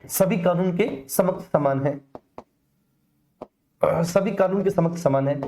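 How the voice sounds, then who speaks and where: A woman's voice: on the low side, slow pace, warm tone. A woman with an Indian accent giving an explanatory exam-coaching lecture, addressing students familiarly and repeating key phrases for emphasis. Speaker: teacher.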